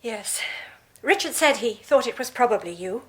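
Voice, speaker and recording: An actress playing an anxious, troubled young mother in a British radio drama, speaking with an English accent in a tense, halting, confiding way. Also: a little high, animated, breathy edge. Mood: troubled, uneasy. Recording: faint noise on radio broadcast.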